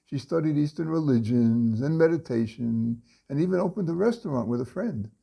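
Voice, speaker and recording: An older man reading a story aloud from his home study into a webcam, unhurried, with frequent pauses and restarts. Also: fairly high pitch, animated, creaky, warm tone, husky. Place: home study lined with bookshelves.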